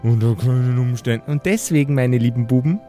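A man's voice: medium pitch, a little fast, warm tone, pitched below the performer's own voice, natural voice. A man voicing a doting but fierce dwarf mother in an audio play, folksy and determined. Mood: grimly determined. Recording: music behind the voice.

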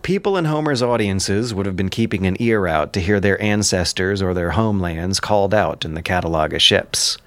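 Male commentator, lower register, articulate and bookish scripted narration with wry asides, dry close-miked sound. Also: fairly quick, hardly any pauses, dry recording. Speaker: writer and narrator of an audio drama.

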